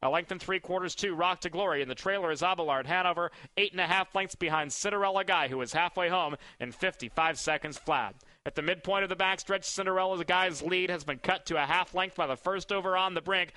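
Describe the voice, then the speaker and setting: A man calling a harness race, with his delivery rapid and building in excitement. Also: sits high, dry recording. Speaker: race caller. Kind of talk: live race call.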